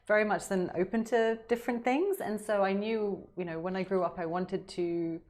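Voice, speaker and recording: A woman's voice: medium pitch, moderate pace, a little mellow. A middle-aged woman, a diplomat, chatting as an interview guest in a friendly, easygoing way with small laughs and restarts. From United Kingdom. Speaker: guest, deputy ambassador. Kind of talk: casual interview conversation.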